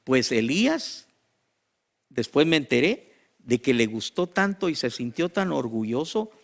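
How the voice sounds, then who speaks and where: Casting: male preacher, Guatemalan accent, mid-pitched with a creaky grain, emphatic sermon delivery built on repetition and pauses. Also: big pitch swings. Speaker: pastor and teacher. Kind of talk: sermon to a congregation.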